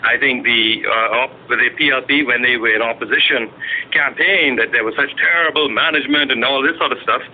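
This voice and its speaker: A man speaking as a party spokesman in a radio political interview, in a measured, formal, argumentative and hesitant way. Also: mid-range voice. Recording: noisy, narrow phone-like radio audio.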